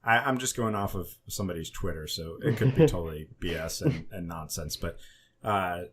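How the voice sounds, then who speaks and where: A man with a deep voice talks casually as a podcast co-host. His phrasing is halting, with words repeated as he goes. There is some background noise on the recording. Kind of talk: casual discussion of a TV show.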